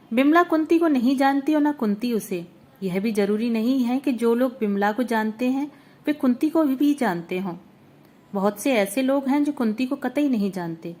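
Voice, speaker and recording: A woman reading a literary short story aloud as narrator, in a measured, even, reflective manner. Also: a little high.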